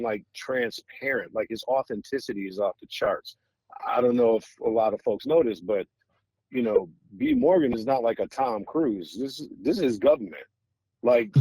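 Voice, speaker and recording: A man's voice: mid-range voice, a little mellow, breathy edge, no echo, poor audio. An American man hosting a podcast interview in a casual, conversational style, with meandering and admiring questions. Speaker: podcast host interviewing guests.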